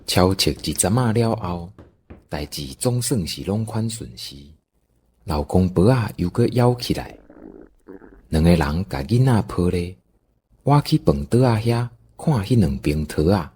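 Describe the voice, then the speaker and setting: A man's voice: low-pitched, expressive, a little slow, warm tone, slightly rough. A man with a Taiwanese accent narrating an audio drama in a folksy, dramatic storytelling style.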